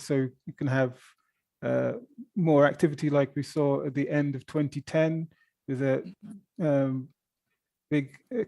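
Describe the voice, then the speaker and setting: Guy answering press questions as the expert, flat mellow voice, bit gravelly, hesitant, keeps repeating words. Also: medium pitch, no echo. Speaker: volcano observatory director answering press questions. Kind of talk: spontaneous press conference answers.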